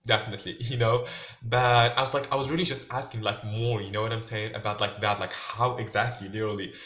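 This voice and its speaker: A man's voice: fairly low pitch, steady tone, fast, sharp tone. A young man from Tunisia, talking casually to camera in a loose, filler-heavy way. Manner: loose, filler-heavy talk, "like" and "you know what I'm saying" everywhere. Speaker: vlogger. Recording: roomy and dull, lo-fi.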